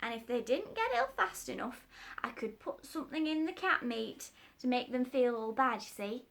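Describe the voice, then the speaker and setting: Storybook tradesman: eager, rambling working-class man, high and bright, read by a woman. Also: big pitch swings, moderate pace, vocal fry, heavily acted. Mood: eager, wheedling. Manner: rambling, folksy, stumbles over words. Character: chatty working-class man, cat's meat seller.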